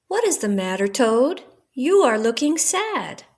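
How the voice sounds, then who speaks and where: A woman acts out a kindly, eager storybook frog, a loyal best friend to all appearances. The voice sits high and leaps about in pitch, with an earnest, coaxing way of talking. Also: big pitch swings, measured pace, breathy edge, heavily acted. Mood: concerned. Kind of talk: children's storybook read-aloud.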